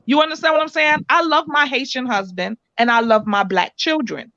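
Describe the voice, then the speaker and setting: A woman's voice: high-pitched, fairly even intonation, brisk, pushed and bright, no echo, lo-fi sound. Emphatic Jamaican-accented woman. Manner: emphatic, repeats "you understand what I'm saying". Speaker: guest. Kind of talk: casual radio chat.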